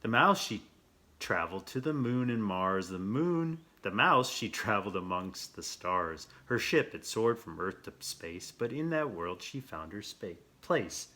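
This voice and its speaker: A man reading a children's picture book aloud as host, playful and theatrical, with expressive asides and questions. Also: on the low side, a little slow. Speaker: storyteller, host of a read-aloud show.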